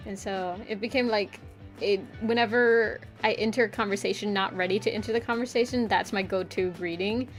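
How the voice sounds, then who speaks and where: A woman's voice: mid-range voice, steady tone, fairly quick, soft background music. A young woman chatting casually to her stream while drawing, rambling with lots of filler words and frequent giggles. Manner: giggly, playful voice play.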